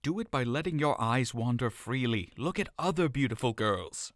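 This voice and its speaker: A man's voice: medium pitch, expressive, moderate pace, no echo, studio quality, natural voice. An actor playing a caring young cousin in an audio drama, earnest and coaxing as he questions his friend. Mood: concerned.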